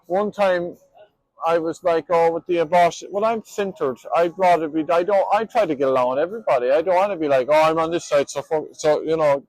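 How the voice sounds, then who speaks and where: A man chatting casually on the street in a halting way, repeating words and trailing off. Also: on the high side, darkish tone, dry recording, poor audio. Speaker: passer-by who streams. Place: outdoors on a town street.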